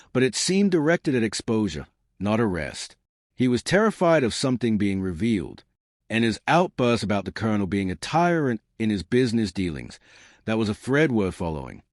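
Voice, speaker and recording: A male audiobook narrator tells a scripted mystery in the first person. His voice sits fairly low and moves expressively, recorded close and dry. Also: no echo.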